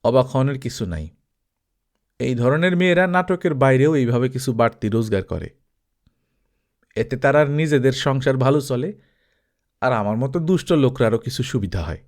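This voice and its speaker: A man voicing a wealthy, shady village trader in an audiobook story, brazen, calm and domineering, talking colloquially and justifying himself with rhetorical questions. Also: medium pitch, brisk, long pauses, close to an everyday voice. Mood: brazen, dismissive.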